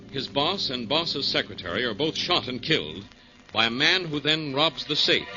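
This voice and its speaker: A man announces and narrates a 1940s radio drama. His mid-pitched voice moves in a lively way over clear music, on a dull old recording. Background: loud-ish music.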